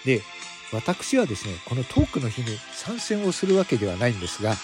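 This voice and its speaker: A man's voice: mid-range voice, wildly swooping pitch, fairly quick, over music. A man hosting a podcast, making a casual announcement to listeners in polite, formal phrasing. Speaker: podcast host.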